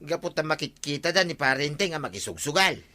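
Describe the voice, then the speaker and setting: A man's voice: a little high, very animated, brisk, pushed and bright. An actor playing a gossipy, wheedling village man, the captain's crony, in a radio comedy drama. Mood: disapproving, gossipy.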